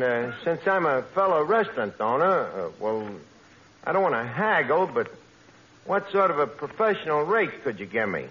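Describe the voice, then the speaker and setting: An actor playing a brash, scheming, lowbrow tavern manager with a New York accent and would-be fancy words, in 1940s radio comedy style. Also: male voice. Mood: haggling. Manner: mangled grammar with pretentious fancy words, hemming. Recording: dull old radio recording, noisy.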